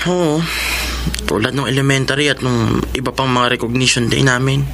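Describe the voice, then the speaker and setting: A man's voice: medium pitch, fairly even intonation, moderate pace, gravelly, a bit bright. An actor playing a self-conscious, loving teenage boy in a radio drama, earnest and plaintive. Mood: sad, wistful. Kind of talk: Filipino radio drama acting.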